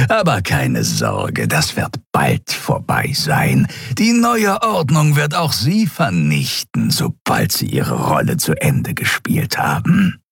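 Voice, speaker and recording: A man voicing a sinister male reptile cultist who speaks in a slow, mocking, hissing whisper. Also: a bass voice, vocal fry, a bit bright, husky, no echo, clean studio sound, voice pushed down, heavily acted.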